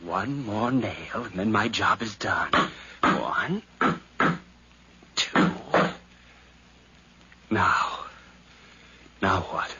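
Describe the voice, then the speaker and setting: An actor playing an agitated, gloating murderous nephew who talks to himself in a theatrical 1950s radio drama. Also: male voice, on the low side, expressive, drawn-out words, pauses often. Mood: nervous, careful. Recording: muffled, noisy 1950s radio recording.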